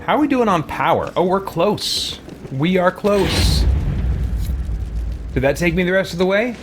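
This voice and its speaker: A man's voice: high-pitched, animated, unhurried, background noise. A man giving casual let's play commentary, thinking aloud and muttering to himself as he goes, often repeating words. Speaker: gamer hosting a let's play.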